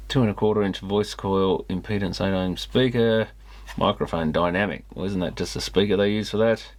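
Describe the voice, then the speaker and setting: Man, lowish voice, casual repair host, reading aloud, muttered asides. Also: no room sound. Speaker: host of an electronics repair video channel. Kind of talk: casual commentary mixed with reading a manual aloud.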